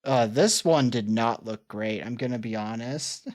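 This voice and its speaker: Slow-talking relaxed streamer woman. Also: rumbling low. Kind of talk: casual livestream chat reacting to old clips.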